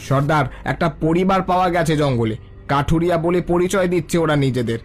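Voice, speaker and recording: A cartoon bandit guarding forest territory , acted by a man. His voice sits a little high for a man. He is suspicious and commanding, with a gruff, threatening way of talking. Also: quick pace, faint music behind, lightly acted. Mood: reporting. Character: menacing forest bandit, suspicious underling of the chief. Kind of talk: cartoon voice acting.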